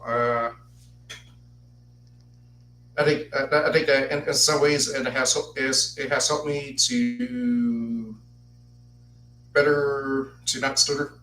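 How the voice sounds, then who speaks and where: A man's voice: fairly high pitch, takes it slowly, vocal fry, pauses often, pushed and bright, distant mic. A man in his twenties hosting a solo podcast, who stutters and repeats words as he partly reads from a script. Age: 20s. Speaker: podcast host. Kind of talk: solo podcast talk, partly read aloud. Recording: echoey room, voice off-mic, faint noise.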